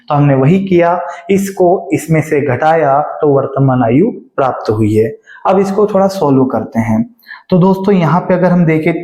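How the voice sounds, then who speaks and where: Guy explaining exam math step by step, kinda high voice, echoey dull room sound. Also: mellow. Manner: explains step by step with frequent check-ins; stretches key phrases slowly while reading the question aloud. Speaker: math teacher. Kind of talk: exam-prep math lesson.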